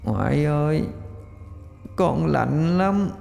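A man voicing the ghost of a dead little girl who pleads faintly, slowly and mournfully. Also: on the high side, animated, creaky, a little mellow, long reverb tail, faint music behind, pitched above the performer's own voice, heavily acted. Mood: mournful pleading.

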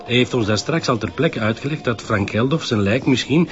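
Radio drama sidekick: male actor as dry Flemish sergeant, sceptical, medium-pitched, slightly lo-fi. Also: lively intonation, fairly quick, crowd noise, natural voice. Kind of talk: naturalistic radio drama dialogue. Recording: dull lo-fi radio sound.

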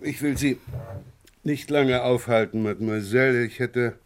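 A man playing an unhurried, exacting Paris chief inspector in a 1980s radio crime drama, questioning in a measured, formal way. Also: medium pitch, lightly acted. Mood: polite probing.

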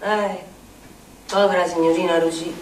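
An actress playing an eccentric, earthy Neapolitan storyteller in a declamatory theatrical monologue, with a strong Neapolitan accent. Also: female voice, lowish voice, flat delivery, normal speed, lightly acted. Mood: mournful, grotesque. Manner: declamatory, dramatic, sing-song repetitions. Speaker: stage actress. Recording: echoey theatre stage, distant mic, faint noise.

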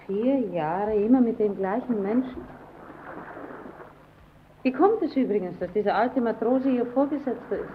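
A woman's voice: a little high, animated, normal speed, long pauses, lightly acted. An actress playing a curious, persistent young woman visitor in an old radio play, chatty and politely probing with her questions. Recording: dull, noisy old radio recording.

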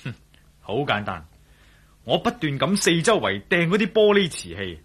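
An actor playing a cool-headed, daring adventurer in a radio drama, confident and wry, with a Hong Kong Cantonese accent. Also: male voice, on the high side, big pitch swings, normal speed, a bit bright, husky, full character voice. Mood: calm, commanding.

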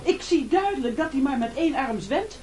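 A man's voice: very high voice, big pitch swings, a little fast, darkish tone. An actor playing a servile, obsequious castaway sidekick who hesitates and echoes his chief in an absurd comic radio play. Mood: eager, excited. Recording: noisy old radio recording.

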